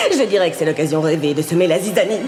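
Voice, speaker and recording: A woman's voice: lowish voice, echoey room, close to an everyday voice. A woman voicing a scheming, mischievous female villain who gloats and laughs at others' trouble in a French cartoon dub.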